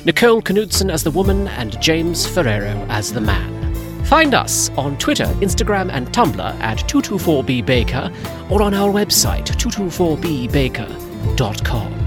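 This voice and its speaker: A woman reading scripted podcast credits as an announcer over guitar music. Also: sits low.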